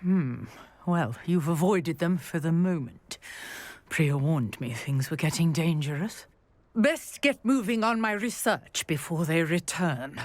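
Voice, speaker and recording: A woman playing a brisk, wry historian and archaeologist in a video game, articulate and full of curiosity. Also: sits low, expressive. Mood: wry, matter-of-fact. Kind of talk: video game character acting.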